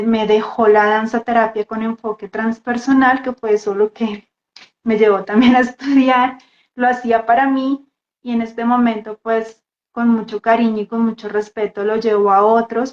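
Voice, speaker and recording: A woman with a Bogotá Colombian accent introduces herself casually and reflectively, halting and repeating words as she goes. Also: fairly high pitch, a little mellow. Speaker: guest dance therapist. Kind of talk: casual personal introduction in a live talk. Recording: echoey room, dull muffled audio.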